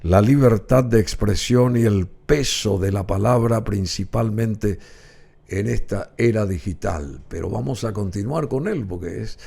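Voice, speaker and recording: Gravelly Paraguayan radio host. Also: male voice, fairly low pitch, measured pace. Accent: Paraguayan Spanish. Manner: warm, effusive thanks, rambling with many fillers. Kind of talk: interview.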